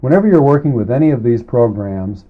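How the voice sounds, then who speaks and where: A man working as an instructor, giving a deliberate, explanatory spoken tutorial and repeating phrases for emphasis. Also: mid-range voice, expressive. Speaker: programming instructor. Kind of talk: semi-scripted spoken computer tutorial. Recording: muffled, narrowband old cassette tape.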